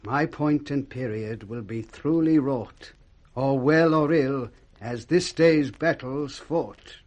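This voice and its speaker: Guy playing serious loyal lord, british, formal, mid pitch, old radio shakespeare. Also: a little mellow, muffled recording, a little hiss or hum, lightly acted. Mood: grave, resolute. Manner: measured, formal. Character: grave, loyal nobleman discussing a coming war.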